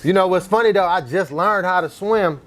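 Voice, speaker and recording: A man's voice: sits high, moderate pace. A man from East Oakland with an Oakland accent, chatting casually and in slang as an interview guest. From East Oakland, California. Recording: clear background noise, ambience.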